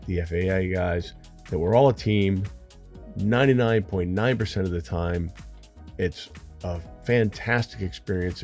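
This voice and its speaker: An American man with a low-pitched voice speaks as a flight instructor hosting his own channel. He tells his story conversationally and earnestly, addressing viewers directly. Faint music sits behind his voice. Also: darkish tone.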